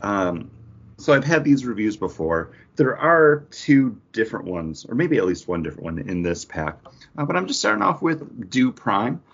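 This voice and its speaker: Husky, animated man chatting casually. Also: lowish voice, moderate pace. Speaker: podcast co-host. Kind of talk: casual chat. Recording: dull lo-fi audio.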